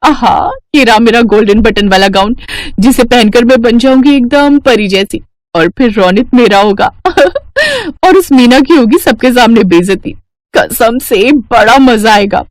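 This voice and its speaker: Woman doing a high, whiny spoiled stepsister. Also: expressive, a little slow, no echo. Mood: gloating. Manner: whiny, haughty, petulant. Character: spoiled, jealous, cruel teenage stepsister. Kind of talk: Hindi cartoon story character acting.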